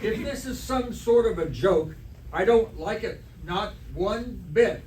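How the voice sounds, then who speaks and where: A man's voice: high-pitched, expressive, slow pace, crowd noise. A man playing a formal, easily offended education official in an old-time radio comedy performed on stage. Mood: indignant. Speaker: amateur stage actor. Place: live stage performance in a hall. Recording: echoey hall, distant mic, faint noise.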